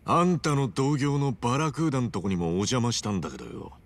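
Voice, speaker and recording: A man's voice: mid-range voice, lively intonation, fast, close to an everyday voice. A man playing a brash, good-hearted hero in a Japanese game dub, talking bluntly and informally. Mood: frank. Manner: blunt, informal, rough-edged speech.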